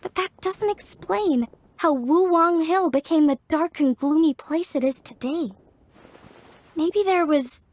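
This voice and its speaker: A woman's voice: very high voice, unhurried. A woman playing a friendly, earnest young woman in a video game, chatty as she explains things. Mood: thoughtful. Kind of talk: English video game voice acting.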